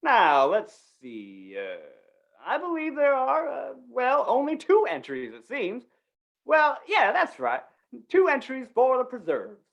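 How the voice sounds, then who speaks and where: A woman's voice: high-pitched, wildly swooping pitch, exaggerated voice. A woman playing a flustered, folksy, dithering small-town announcer in an old-time western radio play. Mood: fussy, genial. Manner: dithering, halting, folksy, repeats words.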